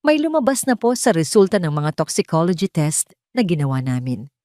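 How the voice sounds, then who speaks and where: A woman voicing a serious, worried hospital doctor in an audio drama, speaking politely and gravely. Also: on the low side, very animated, a little fast, mellow, no room sound, clean studio sound. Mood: grave concern.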